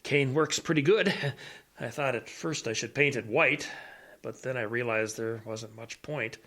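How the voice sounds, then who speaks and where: A man playing a stubbornly hopeful older major, a blinded radio tinkerer, who talks in a halting, rambling way in an audio drama. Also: mid-range voice, fairly bright tone. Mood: wistful, rambling.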